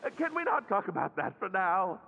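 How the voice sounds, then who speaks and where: A man's voice: sits high, sing-song, fairly quick, creaky, sharp tone, slight background noise, exaggerated voice. A man voicing a timid, embarrassed young man in a video game, hesitant, stammering and polite, pleading for help through a muffled effect. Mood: embarrassed, earnest. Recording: muffled, narrow-band, faint music behind.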